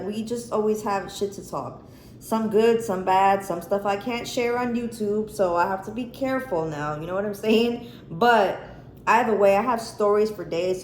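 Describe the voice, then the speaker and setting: An American woman hosting a cooking vlog, chatting casually and informally to camera with plenty of swearing. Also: mid-range voice. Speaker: cooking and chat vlog host. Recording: echoey room, faint background noise.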